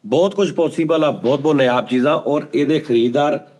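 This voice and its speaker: A man telling funny stories in a casual chat, animated and quick to act out quotes. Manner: animated, jumps into high-pitched acted quotes. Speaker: storyteller guest.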